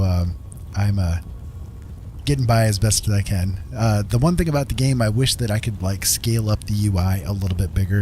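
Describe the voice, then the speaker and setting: A man's voice: on the low side, a little mellow, slight background noise. A middle-aged man livestreaming a game, chatting casually in a friendly, musing, polite way. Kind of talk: casual chat while gaming.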